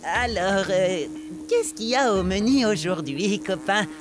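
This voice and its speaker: A woman playing an excitable, good-natured pre-teen amoeba boy in a cartoon, with an expressive French delivery. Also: mid-range voice, unhurried, close to an everyday voice. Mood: puzzled, sincere. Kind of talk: animated cartoon dialogue. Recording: loud music behind the voice.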